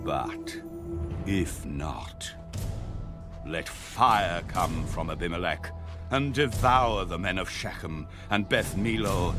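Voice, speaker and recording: An actor playing an indignant, solemn man pronouncing a curse in a dramatized audio Bible, declamatory and deliberate. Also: lowish voice.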